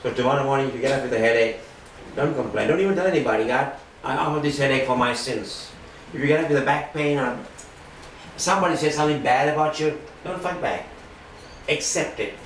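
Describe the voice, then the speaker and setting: An older man giving an earnest testimony sermon with a loud voice, swinging between hushed and forceful delivery. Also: medium pitch, fairly quick, a little hiss or hum. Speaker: lay preacher giving testimony. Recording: roomy and distant with faint ambience.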